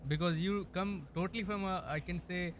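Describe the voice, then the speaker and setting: Male interviewer, hesitant and full of fillers, higher voice, audible background noise. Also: fairly even intonation, normal speed. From India. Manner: hesitant, many fillers, repeats "I can say". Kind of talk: casual interview. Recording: noisy background.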